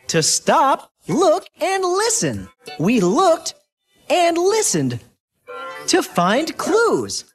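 A man voicing an upbeat young cartoon rabbit who guides children through an educational game, bouncy, encouraging and clearly enunciated. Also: extremely high pitch, full character voice. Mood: cheerful, eager. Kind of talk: animated educational game voice acting.

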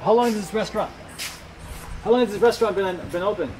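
Fast-talking high-voiced man, breezy and slangy. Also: very noisy. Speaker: podcast member on a road trip. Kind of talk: casual chat. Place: outdoors at a restaurant.

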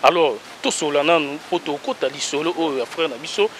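Bright high-voiced energetic host. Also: male voice, brisk, slight background noise, poor audio. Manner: energetic, emphatic, rattles off shout-outs. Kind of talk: talk show chat. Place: outdoor garden by a wall. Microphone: handheld mic.

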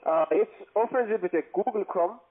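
High-voiced foreign-accented man, halting, phone line. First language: not German. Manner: halting, broken phrasing. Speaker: cold-calling call-centre agent. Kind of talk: pushy phone instructions.

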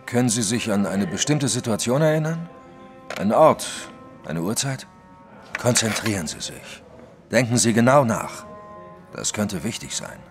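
An actor playing a big, methodical detective in an audio drama, questioning in a deliberate, insistent manner. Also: male voice, lowish voice, lively intonation, faint music behind. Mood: probing, pensive. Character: big, probing French male detective, methodical and pensive.

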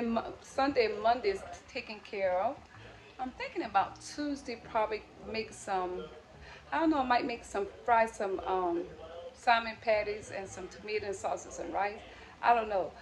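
A woman's voice: fairly high pitch, rough recording. A middle-aged woman, chatting casually and folksily to her viewers as a lifestyle vlogger. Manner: folksy, addresses viewers as friends and y'all. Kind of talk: casual motivational chat to viewers. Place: home kitchen, indoors.

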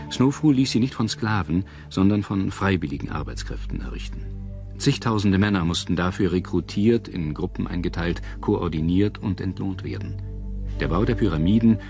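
Deep-voiced male documentary narrator over music. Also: gravelly. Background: background music.

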